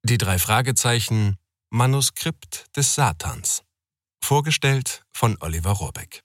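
A man's voice: medium pitch, expressive, a little slow, a bit bright, no echo. Male show announcer, very dry sound. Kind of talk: scripted show intro announcement.

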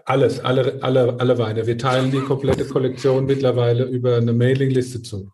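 A man's voice: mid-range voice, little pitch movement, brisk, darkish tone, a little hiss or hum. A middle-aged man speaking casually and earnestly as a winemaker, often tagging his points with short questions to seek agreement. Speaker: winemaker, guest. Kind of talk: casual conversation about own work.

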